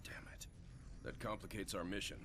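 An actor playing a middle-aged military starship captain who gives clipped, commanding orders. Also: male voice, low voice, steady tone, normal speed, in a whisper. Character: stern, weathered male military starship captain. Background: cinematic score.

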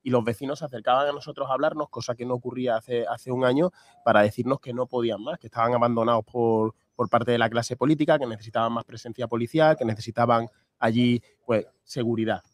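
An Andalusian man answers a radio interview at a quick clip, the sound close and dry. He talks with emphasis and repeats his key phrases. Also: medium pitch, fairly even intonation, no room sound. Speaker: political candidate, interview guest.

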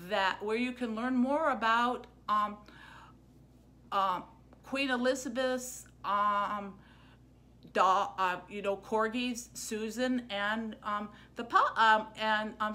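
A middle-aged American woman giving an informal book talk to camera as a librarian, halting and full of ums. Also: fairly high pitch, bright, forceful tone. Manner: halting, hesitant, with very frequent ums. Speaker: librarian and book-stream host. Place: small plain indoor room. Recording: noticeable background noise, room ambience.

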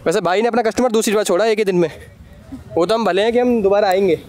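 Travel vlog host: high-pitched man in his thirties, chatty and joking, handheld cam outdoors. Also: fast talker, darkish tone. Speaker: travel vlogger. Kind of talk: casual vlog narration. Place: outdoors on a sunny beach and street.

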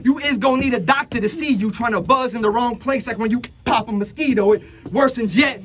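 A man's voice: extremely high pitch, a little fast, a bit bright, slight background noise. A young man from the Republic, rapping a taunting battle verse in a crowded indoor room. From Dominican Republic. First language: Spanish. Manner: aggressive, taunting, punchline-heavy. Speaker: battle rapper. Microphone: handheld camera at close range.

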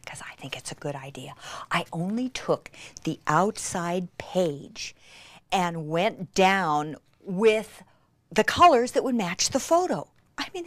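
A woman presenting a craft demonstration in an excitable, gushing way, with emphatic drops into a breathy near-whisper. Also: lowish voice, dry recording.

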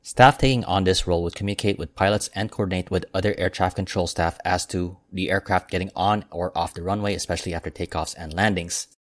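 A man with a deep, low voice reads aviation news in a steady, measured way. The recording is dry and close, with no room sound around him. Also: no echo. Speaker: aviation news channel host. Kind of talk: scripted news narration. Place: voice-over, not recorded in the pictured airport.

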